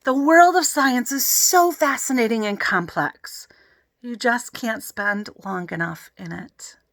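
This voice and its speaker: A woman's voice: fairly high pitch, slow pace, no room sound, voice pushed up. A woman voicing an eager, bossy ten-year-old girl who talks insistently in a children's story. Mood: gushing. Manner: excitable, insistent.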